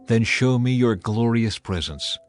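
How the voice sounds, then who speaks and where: A man's voice: mid-range voice, lively intonation, unhurried, soft background music, close to an everyday voice. A man voicing a humble, earnest prophet pleading reverently in dramatized scripture. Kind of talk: dramatized audiobook acting.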